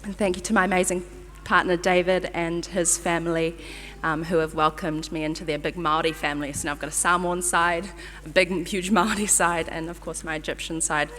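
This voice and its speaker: A young woman speaks at a ceremony, her lowish voice ringing through a reverberant hall. She sounds flustered and grateful, filling pauses with ums and quick nervous laughs. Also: fairly even intonation, a bit bright. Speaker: medical graduate giving a speech. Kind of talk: ceremony acceptance speech.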